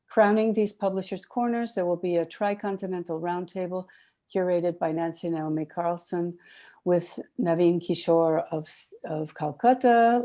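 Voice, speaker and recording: A woman hosting an online festival, giving opening remarks and introducing the program in measured, formal phrasing. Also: fairly low pitch, dark tone.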